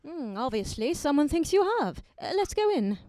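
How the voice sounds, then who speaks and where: Woman playing brainy lady professor in audio drama, high voice, very up and down, stumbles on uhs. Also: very animated, warm tone, no room sound. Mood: measured. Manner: halting, pedantic, many uhs. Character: brainy, absent-minded woman professor, adjunct to the multiverse. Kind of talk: scripted audio drama acting.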